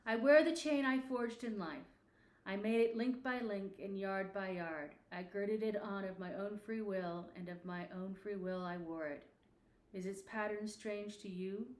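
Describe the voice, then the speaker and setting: A woman's voice: mid-range voice, a little slow, lightly acted. A stern, sorrowful spectre of a dead businessman acted out by a woman reader declaims in grave, formal phrases for a storybook. The voice sits in an echoing room. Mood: grim, reproachful. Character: mournful, stern ghost of a dead male businessman.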